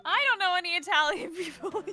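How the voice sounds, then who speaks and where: A woman's voice: extremely high pitch, brisk, bright, forceful tone, soft background music. A woman streamer chatting casually, laughing often and exclaiming. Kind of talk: casual group chat over a game stream.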